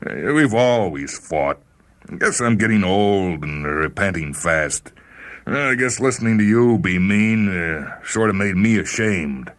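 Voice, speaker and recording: A man voicing an aging, apologetic spaceman who confesses haltingly in a dramatic story reading. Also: sits low, animated, a bit bright, voice pushed up. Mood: remorseful, confessing. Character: aging spaceman, remorseful old rival confessing before death.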